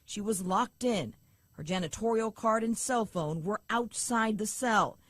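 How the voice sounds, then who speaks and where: A woman reporting a TV news story in measured, scripted delivery. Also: medium pitch, no echo.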